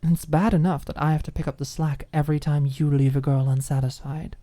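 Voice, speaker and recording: A woman's voice: low voice, fairly even intonation, fairly quick, dark tone. A woman voicing a cocky, confrontational college woman in an audiobook, taunting, smug and sarcastic. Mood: challenging.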